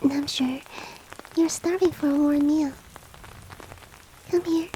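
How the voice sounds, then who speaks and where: A woman voicing a courteous, coaxing monster-girl maid in a soft, breathy, whispery roleplay ASMR style with formal, old-fashioned politeness. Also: high voice, fairly even intonation, slow, a little hiss or hum, low-quality recording. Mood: gently insistent. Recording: rain ambience behind the voice. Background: falling rain.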